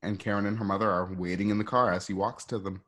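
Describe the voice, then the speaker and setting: A man narrating stage directions informally, in halting, improvised phrasing. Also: on the low side, fairly even intonation, fairly bright tone.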